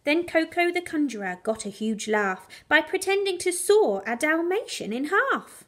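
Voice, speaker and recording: Female storyteller, high-pitched, expressive children's bedtime read, animated rhyming delivery with dramatic emphasis. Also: moderate pace. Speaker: primary school teacher reading a bedtime story.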